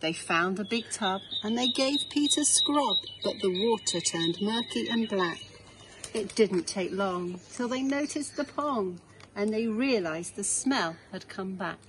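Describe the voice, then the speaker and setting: Slow-paced English woman reading a storybook. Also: medium pitch, animated. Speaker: head teacher reading a picture book. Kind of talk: children's storybook reading aloud. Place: outdoors in a small garden. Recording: outdoor background noise.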